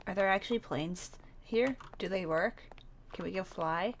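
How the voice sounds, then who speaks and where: A woman's voice: mid-range voice, moderate pace, slight background noise, natural voice. A woman playing a naive, curious survivor in a zombie game roleplay, with a playful, questioning manner. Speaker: roleplay player.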